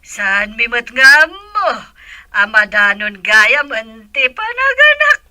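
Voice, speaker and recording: A woman acting in an audio drama, speaking slowly and worriedly. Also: a little high, big pitch swings, bright, forceful tone, no room sound.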